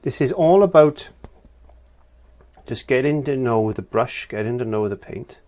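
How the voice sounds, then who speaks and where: A hoarse, croaky man from Wales, middle-aged, talking through an acrylic painting lesson with plain step-by-step remarks as he works. His voice sits at an ordinary middle pitch. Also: animated, measured pace, darkish tone. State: hoarse from laryngitis. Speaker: art teacher. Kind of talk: painting tutorial.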